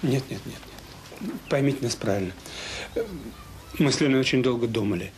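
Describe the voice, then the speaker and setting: Actor as troubled, halting middle-aged father. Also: mid-range voice, slight background noise, natural voice. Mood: earnest pleading. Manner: hesitant, halting, earnest. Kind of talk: Soviet radio drama acting.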